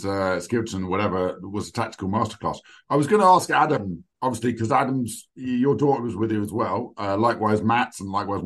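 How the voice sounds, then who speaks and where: Lower-voiced man leading football chat. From England.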